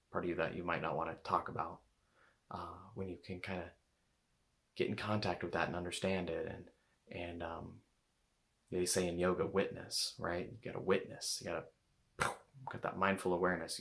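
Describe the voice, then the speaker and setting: Low-voiced rambling man coaching. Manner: rambling, repeats words, many fillers. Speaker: intuitive eating coach. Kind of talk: casual talk to camera.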